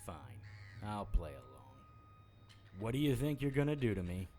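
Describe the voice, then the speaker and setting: A man's voice: lowish voice, very animated, normal speed, mellow, a little hiss or hum. A man playing a wisecracking, defiant private investigator in an audio drama, with a cocky, sardonic delivery. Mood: defiant taunting. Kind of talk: audio drama acting with first-person noir narration.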